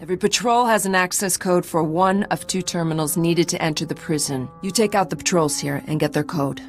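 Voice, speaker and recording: A woman's voice: on the low side, a little fast, soft background music, lightly acted. An actress playing a stern, curt Mandalorian warrior woman in a video game, commanding and grim. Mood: terse, commanding.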